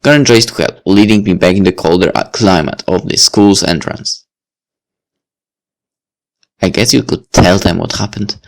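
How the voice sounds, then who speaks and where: Low-voiced man reading a visual novel. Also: moderate pace, gravelly, long pauses. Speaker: let's play reader.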